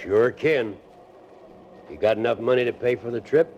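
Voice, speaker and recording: An actor playing a terse, folksy country cab driver in a 1940s radio drama. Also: male voice, medium pitch, moderate pace, lightly acted. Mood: laconic. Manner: terse, clipped folksy replies. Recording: dull, very noisy old radio recording.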